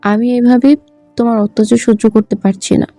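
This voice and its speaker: A woman's voice: a little high, flat delivery, moderate pace, warm tone, dry recording, faint music behind, lightly acted. A woman playing an exasperated young newlywed wife in an audio story drama.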